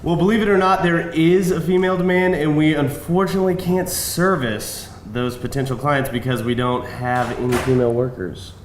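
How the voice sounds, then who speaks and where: A man playing a smooth-talking, pleading businessman with a persuasive, earnest manner at a live table read. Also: fairly high pitch, normal speed, hardly any pauses, close to an everyday voice. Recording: roomy live event, clear background noise.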